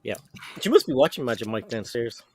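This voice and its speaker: A man's voice: mid-range voice, a little fast, husky. A middle-aged man hosting a casual livestream from a home room, reading viewer comments and joking with his son. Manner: relaxed, joking, talks to his son on camera. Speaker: host of a Lego livestream. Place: home room full of Lego shelves.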